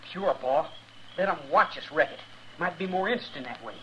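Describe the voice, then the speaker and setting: An actor playing a young frontier son, an eager troublemaker, in a radio western. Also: male voice, on the high side, animated, a little fast, natural voice. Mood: eager, spiteful. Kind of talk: 1950s radio western acting. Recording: dull old radio, faint noise.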